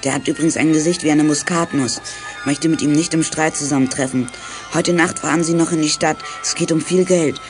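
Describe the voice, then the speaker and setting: Keen young detective, male actor, youth audio drama. Highish voice, eager explaining, music underneath. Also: steady tone, fairly quick, natural voice. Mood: reporting eagerly. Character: keen, clever boy detective, deducing eagerly. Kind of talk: 1980s children's detective audio play acting.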